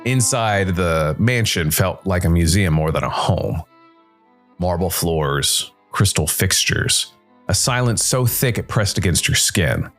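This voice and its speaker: A man narrating a scripted first-person noir story as a world-weary supernatural detective, brooding and dramatic, with deliberate, weighted pauses. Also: deep voice, fairly bright tone, heavily acted. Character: world-weary supernatural noir detective, male jazz musician working for Death.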